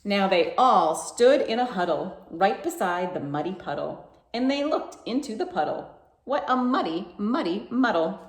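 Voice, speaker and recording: Animated woman reading to young children. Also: medium pitch, normal speed, roomy sound. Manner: playful, rhythmic, emphatic. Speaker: children's library storytime host. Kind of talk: expressive picture-book read-aloud for young children.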